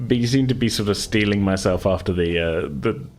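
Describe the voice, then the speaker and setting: Guy with a lowish voice chatting during an rpg session, slight noise behind. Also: fairly quick. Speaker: tabletop game player. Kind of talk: casual chat.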